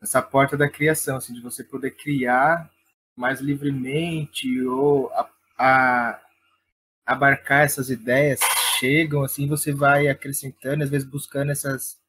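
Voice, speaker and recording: A man's voice: mid-range voice, fairly bright tone, husky, low-quality recording. A man talking casually and enthusiastically as an interview guest, in an informal way. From Brazil. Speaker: guest, playwright and theatre director.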